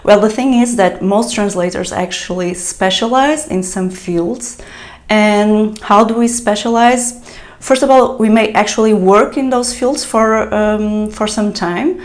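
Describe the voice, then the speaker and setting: A woman's voice: medium pitch, unhurried. A woman whose native language is European Portuguese, a translator explaining tips in a casual vlog, with frequent fillers and restarts. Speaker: translator giving business advice. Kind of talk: solo talking-to-camera vlog.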